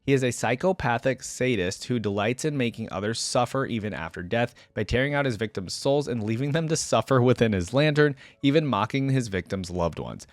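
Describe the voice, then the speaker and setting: A man's voice: medium pitch, lively intonation, brisk, hardly any pauses, no room sound. A man hosting a reaction video, switching between reading aloud and casual, conversational commentary as he thinks aloud.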